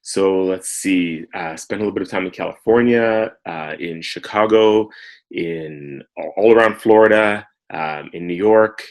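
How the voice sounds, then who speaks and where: Very deep-voiced Canadian man. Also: unhurried. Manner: relaxed storytelling with many fillers. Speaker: guest. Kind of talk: casual interview.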